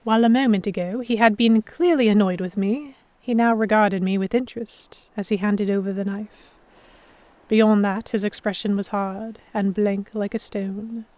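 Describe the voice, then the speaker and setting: A woman narrating in first person as a composed captive in a historical pirate audio drama, with measured, dramatic storytelling. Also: medium pitch, steady tone, warm tone, no echo, natural voice. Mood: measured, tense. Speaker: narrator and lead actor.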